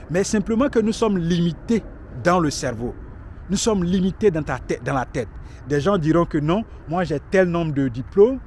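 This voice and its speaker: A man's voice: on the high side, darkish tone, slight background noise. Emphatic man in his thirties outdoors. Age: 30s. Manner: emphatic, preachy, repeats himself for stress. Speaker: vlogger giving life advice. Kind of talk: motivational talk to camera.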